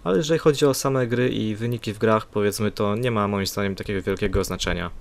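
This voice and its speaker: Low-voiced man, casual hesitant reviewer. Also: fast, gravelly. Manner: hesitant, improvised, plain-spoken. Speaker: amateur gadget reviewer. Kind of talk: casual spoken product review. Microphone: USB condenser desktop microphone.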